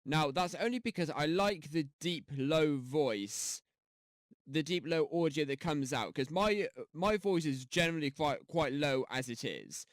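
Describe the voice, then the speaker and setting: A young-sounding man chats his way through a software tutorial, rambling with fillers and restarts. His pitch sits a little high and swings widely. He talks into a headset mic with a dry, close sound. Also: expressive, a little slow, dry recording. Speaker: YouTube tutorial host. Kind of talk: casual screen-recorded tutorial. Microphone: gaming headset mic.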